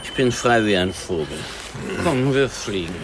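Drunken young male vagrant for radio drama: slightly low, slow, fanciful, carefree. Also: animated, noisy background. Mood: drunk, giddy. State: drunk, acted. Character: drunk, homeless young man, dreamy and carefree. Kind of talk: 1990s German radio crime drama acting.

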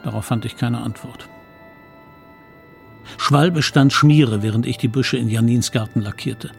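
A man's voice: mid-range voice, a little slow, vocal fry, faint music behind. A man playing the narrator of a radio play, reflectively recalling his own boyhood with some regret. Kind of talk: scripted first-person narration in radio play.

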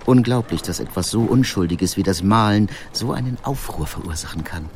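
A bemused painter, faint background noise. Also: male voice, on the low side, animated, a little fast. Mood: amused disbelief. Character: Edvard Munch, painter, amused and unruffled by scandal. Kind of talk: acted quotation in audio documentary.

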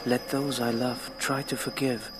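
Slow, solemn man reciting , played by an actor. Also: medium pitch, flat delivery, lightly acted. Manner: measured poetic recitation. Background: music.

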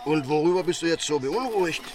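An actor playing a sceptical mob accomplice who asks probing, sardonic questions in a radio crime drama. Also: male voice, on the high side, expressive, fast talker, lightly acted. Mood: needling.

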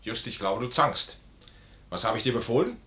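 An actor playing a proud, honourable discharged major, formal and commanding, in a 1950s German radio play. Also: male voice, medium pitch, lively intonation, moderate pace, bright, forceful tone. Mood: stern.

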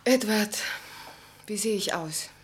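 An actress playing an anxious, self-reproachful, refined wife in a radio play, with a hushed, intimate delivery. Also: female voice, mid-range voice, lively intonation, slow, breathy edge. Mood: nervous, tender. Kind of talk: German radio play acting.

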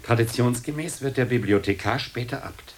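An actor playing a gossiping old monk in a German radio drama, emphatic, then dropping into muttered asides. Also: male voice, mid-range voice, normal speed, a little hiss or hum, natural voice. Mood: knowing, grudging.

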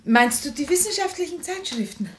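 A woman's voice: high-pitched, lively intonation, brisk, slight background noise. An actress playing an anxious, caring wife and mother in a radio play, fussing solicitously. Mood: pleading. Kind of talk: German radio play acting.

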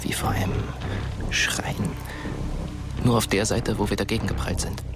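An actor playing the ghost of a dead teenage boy, narrating a dark radio play in a hushed, measured way. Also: lowish voice, level tone, close to an everyday voice. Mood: quietly ominous. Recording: loud music under the voice.

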